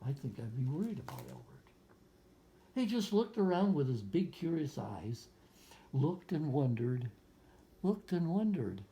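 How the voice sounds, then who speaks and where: A physicist reading a picture book aloud to young children, gently explaining things and adding musing asides and questions. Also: mid-range voice, very animated, a little slow, long pauses, slight background noise.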